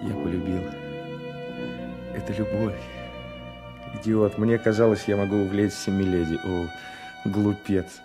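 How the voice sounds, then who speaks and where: Period radio hero played by a man: impulsive, lovestruck cavalier, lowish voice, music behind. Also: fairly even intonation, measured pace, long pauses, lightly acted. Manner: impulsive, emotional, declamatory. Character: impetuous, lovestruck, jealous young French swordsman. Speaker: radio drama actor. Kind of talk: theatrical radio drama acting.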